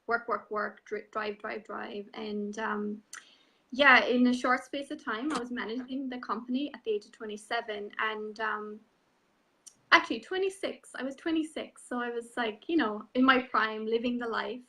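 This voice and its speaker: A woman in her thirties with an Irish accent, telling her story as an interview guest in a soft, unhurried way with many ums. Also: fairly high pitch, rough recording. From Ireland. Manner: soft-spoken, unhurried, frequent ums and you-knows. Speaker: guest, transformational coach. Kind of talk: reflective personal story in an online interview.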